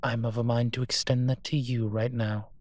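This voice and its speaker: A man's voice: mid-range voice, level tone, moderate pace, close to an everyday voice. A man playing a cocky, menacing monster detective who protects a child in a roleplay audio drama, with taunting threats that drop into breathiness. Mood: calm, warning.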